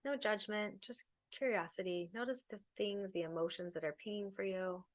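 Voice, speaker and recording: A woman leading a guided meditation as a teacher, giving soothing, unhurried instructions with pauses. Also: mid-range voice.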